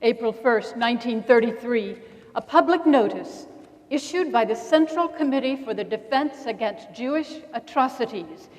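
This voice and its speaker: A woman acting as an earnest narrator in a staged documentary reading, with deliberate phrasing. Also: fairly high pitch, close to an everyday voice. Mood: solemn. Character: earnest female narrator of historic notices and testimony. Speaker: stage reader in a dramatic reading. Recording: echoey hall with long reverb.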